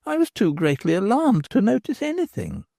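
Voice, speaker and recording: A woman's voice: mid-range voice, huge pitch swings, normal speed, creaky, dark tone, no room sound, studio quality, pitched above the performer's own voice, exaggerated voice. A reader voicing a grave young woman who explains things in a formal, careful way. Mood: earnest.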